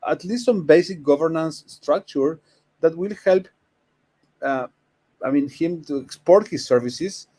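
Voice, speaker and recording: A man from Argentina with an Argentine Spanish accent, speaking as a panelist in an informal discussion and explaining things haltingly. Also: fairly high pitch, slightly rough, poor audio.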